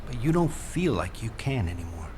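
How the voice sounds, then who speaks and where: An actor playing an earnest, careful negotiator in an audio drama, hesitant and placating, with wordy, formal phrasing. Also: male voice, lowish voice, big pitch swings, a little fast, very noisy. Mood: earnest, persuasive. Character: earnest, careful male negotiator coaxing someone to safety.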